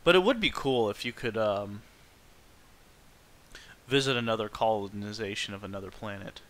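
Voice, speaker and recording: Bright-voiced male game streamer. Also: lowish voice, normal speed. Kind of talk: casual playthrough commentary.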